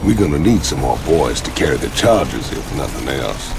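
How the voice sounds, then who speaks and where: Gravelly, very deep outlaw marine. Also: male voice, sing-song, moderate pace, background noise, exaggerated voice. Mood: gruff. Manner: folksy, sardonic. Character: burly, cocky outlaw marine, sardonic and rough. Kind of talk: dramatic video game acting. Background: music.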